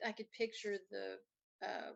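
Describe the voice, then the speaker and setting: A woman's voice: medium pitch, huge pitch swings, measured pace, vocal fry, lo-fi sound. A woman hosting a live show in a casual, rambling conversational way, chatting and reading out comments.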